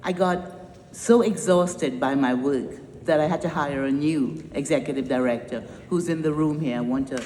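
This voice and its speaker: A woman's voice: low-pitched, normal speed, background noise. Trinidadian woman in an echoey hall. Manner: emphatic, punchy, with wry humor. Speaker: nonprofit founder giving a speech. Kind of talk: rousing public speech. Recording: echoey hall with long reverb.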